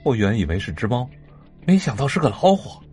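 A male actor as a brash young sidekick in an audio drama. His delivery is lively and colloquial, easily impressed, with faint music underneath. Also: medium pitch, a little fast, natural voice. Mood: amazed. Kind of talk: Chinese audio drama dialogue.

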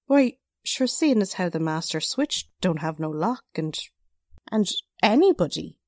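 A woman's voice: fairly low pitch, sing-song, measured pace, mellow, no room sound, clean studio sound, exaggerated voice. A woman playing a defensive, easily riled working-class witness with folksy, ungrammatical talk in a 1940s-style radio drama. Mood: puzzled.

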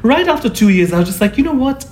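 A man's voice: extremely high pitch, very animated, fast, a little mellow, a little hiss or hum. Thoughtful man, hesitant, lots of fillers. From born in Singapore, grew up in Nepal and India. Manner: thoughtful, searching for words, many fillers. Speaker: fashion designer, interview guest. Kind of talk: conversational interview.

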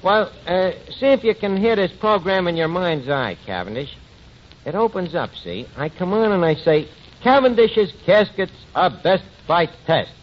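A man's voice: high voice, expressive, normal speed. An actor playing a scheming tavern manager in a 1940s radio comedy, with ungrammatical, wheedling salesman's patter. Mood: eager, salesy. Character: scheming, fast-talking tavern manager, small-time promoter with grand ideas. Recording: muffled, noisy 1940s radio recording.

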